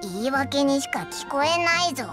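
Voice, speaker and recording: A small, cheeky fairy companion from an anime game. The childlike voice is extremely high and swoops about with brash bluntness. Music plays clearly behind it. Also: female voice, expressive, moderate pace. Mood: scolding. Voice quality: squeaky, childlike. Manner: brash, blunt, rough boyish wording.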